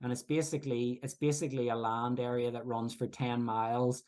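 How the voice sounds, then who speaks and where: A man from Belfast with a Belfast accent, presenting research at a workshop in a halting, explanatory way with many restarts. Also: mid-range voice, level tone, darkish tone. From Northern Ireland. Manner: hesitant, frequent fillers and restarts, explanatory. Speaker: researcher giving a workshop talk. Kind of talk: academic presentation.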